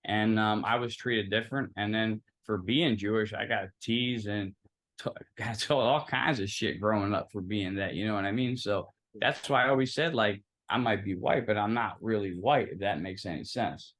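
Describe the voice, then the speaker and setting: A man's voice: lowish voice, moderate pace, fairly bright tone. A young man from Philadelphia whose first language was Italian, speaking as an interview guest in a loose, rambling way with many fillers. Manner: rambling, filler-heavy, storytelling. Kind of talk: casual interview conversation.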